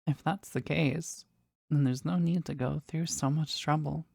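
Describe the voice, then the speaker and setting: A powerful demon, played by a woman speaks slowly and intimately in a gravelly low voice. The phrasing is formal and reverent, possessively devoted to a master, as in a scripted audio drama recorded close and dry. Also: fairly even intonation, darkish tone, no room sound, full character voice. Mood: hurt, jealous. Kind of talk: scripted roleplay audio drama, addressed to the listener.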